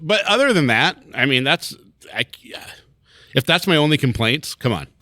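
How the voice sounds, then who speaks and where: A man's voice: fairly high pitch, very animated, normal speed, pushed and bright, no room sound, studio quality. A man co-hosting a podcast, chatting casually about comics, rambling and swearing, laughing often. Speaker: podcast co-host.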